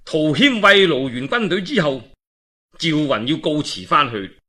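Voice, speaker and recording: A male Cantonese storyteller narrates a historical tale. His voice is bright and forward with wide, animated swings of pitch. He takes his time and adds colloquial, dramatic turns. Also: a little slow. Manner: colloquial asides and dramatic phrasing. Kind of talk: traditional oral storytelling of a historical novel.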